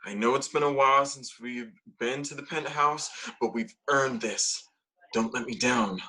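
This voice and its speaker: A man's voice: medium pitch, moderate pace, pushed and bright, lightly acted. A man playing a vain, easily rattled housemate in a comedy table read. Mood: self-assured.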